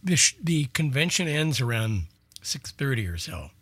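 A man's voice: medium pitch, sing-song, moderate pace, a bit bright, no echo. A man co-hosting a radio show, chatting casually about the schedule. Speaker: radio co-host. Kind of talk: casual chat.

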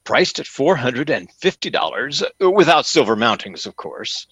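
An actor playing a fawning, pompous store salesman in an old-time radio comedy, with effusive, theatrical flattery. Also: mid-range voice, moderate pace, slightly rough, no room sound, heavily acted. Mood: flattering.

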